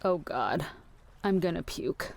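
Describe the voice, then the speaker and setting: Low-voiced, exasperated, practical woman. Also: animated, slow pace, gravelly, full character voice. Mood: disgusted. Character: exasperated, queasy, practical woman minding her raving companion. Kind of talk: scripted audio drama acting.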